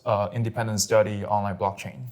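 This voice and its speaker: A young man from Singapore, a guest on an interview podcast, talking in a quick, upbeat way with lots of "like". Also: lowish voice, flat delivery, darkish tone. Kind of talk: casual interview.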